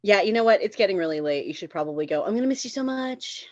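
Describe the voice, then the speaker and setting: A woman's voice: medium pitch, lively intonation, fast talker, dry recording, muffled recording, close to an everyday voice. Woman reading as a smug, dry-sounding boyfriend. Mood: dismissive. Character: smug, evasive casual boyfriend, grown man, in comedy. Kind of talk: comedy screenplay table read.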